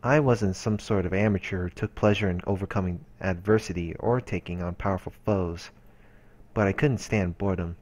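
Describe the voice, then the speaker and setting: A man's voice: deep voice, normal speed, darkish tone. A man reading visual novel narration aloud for a let's play.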